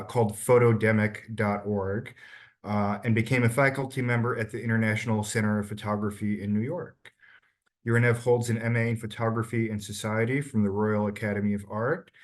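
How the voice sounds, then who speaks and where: A man hosts a talk over a video call, with a medium, everyday pitch. He stumbles over his first words and then reads the guest's introduction at a steady clip. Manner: hesitant at first, then reading a bio briskly.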